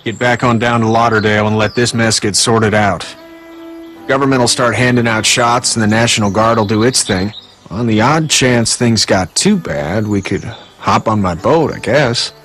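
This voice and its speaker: A man's voice: lowish voice, moderate pace, a bit bright, soft background music, lightly acted. An actor playing a tough, plainspoken middle-aged fisherman and family man in a video game. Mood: casual, confident.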